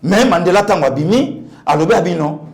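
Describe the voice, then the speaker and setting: A man's voice: sits high, lively intonation, quick pace. A man with a Congolese accent giving impassioned political commentary as a guest pastor, emphatic, repeating phrases and asking rhetorical questions.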